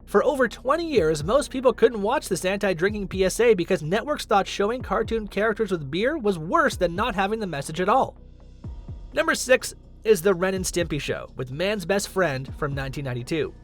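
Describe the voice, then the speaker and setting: Guy with a pretty high voice doing scripted list narration, music in the back. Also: expressive, fairly quick. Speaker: host, narrator. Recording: music under the voice. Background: background music.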